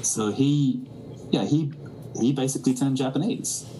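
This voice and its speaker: A musician from New Zealand, a man, speaking reflectively in an interview. He often restarts sentences and fills pauses. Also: mid-range voice, dark tone, a little hiss or hum, poor audio. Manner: thoughtful, many restarts and fillers. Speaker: musician guest.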